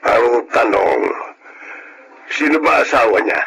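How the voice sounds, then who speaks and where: An actor playing a stern, protective older Filipino father giving deliberate, admonishing advice in a radio drama. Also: mid-range voice, sing-song, creaky, pushed and bright, slight background noise, exaggerated voice, rough recording.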